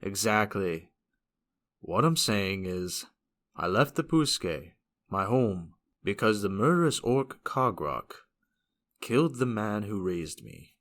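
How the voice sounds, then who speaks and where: A man's voice: on the low side, very animated, slow, a bit bright, heavily acted. A man voices a blunt young-hearted fantasy hero for an audio drama. He speaks formally and very literally, with earnest weight and widely moving intonation. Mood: sombre, earnest. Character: blunt, earnest male fantasy hero, socially naive, grieving.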